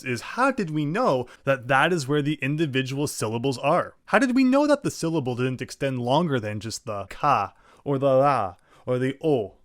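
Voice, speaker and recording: Lively man explaining pronunciation. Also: on the high side, no echo, studio quality. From English-speaking. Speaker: language teacher. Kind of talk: scripted lesson narration.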